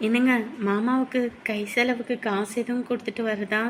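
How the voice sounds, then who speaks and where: A woman playing a polite young daughter-in-law who speaks softly and respectfully in a family drama. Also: fairly high pitch, fairly even intonation, normal speed, slight background noise, close to an everyday voice.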